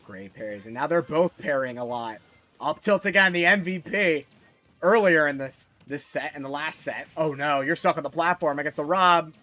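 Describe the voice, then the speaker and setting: A man giving solo live commentary on a fighting game tournament, excitable and jumping to high shouts at big moments, his voice a little worn, from a small closet. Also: normal speed, faint music behind. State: losing his voice. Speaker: esports commentator.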